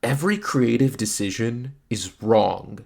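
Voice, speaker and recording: A man's voice: mid-range voice, unhurried, vocal fry, heavy background noise. A man narrating a scripted film review in a casual, wry, opinionated way. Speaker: video host, film reviewer. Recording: voice-over with faint music. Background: faint background music.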